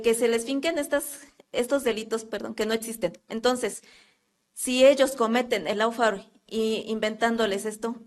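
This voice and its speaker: A Mexican woman with a fairly high voice speaks up from the audience at a public talk. She talks earnestly but hesitantly, stopping often for fillers as she builds her point. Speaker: audience member asking a question. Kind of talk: question from the floor at a public talk.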